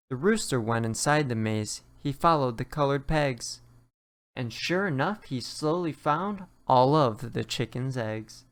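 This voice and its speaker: Slow-paced storybook reader. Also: medium pitch. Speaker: children's book reader. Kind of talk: scripted storybook narration, rhyming read-aloud.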